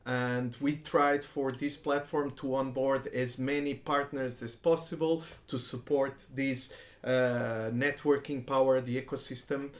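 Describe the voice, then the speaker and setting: A man hosting an informal interview, speaking haltingly with pauses between phrases. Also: medium pitch, flat delivery. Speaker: interviewer, host. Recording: echoey room sound.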